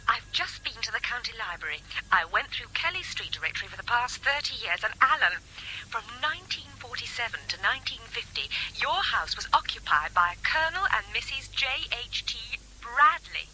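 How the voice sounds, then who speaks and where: An actress playing an eager, brisk amateur investigator, heard over a telephone in a radio drama. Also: female voice, fairly high pitch, very animated, pushed and bright, slight background noise, full character voice, poor audio. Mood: excited, urgent.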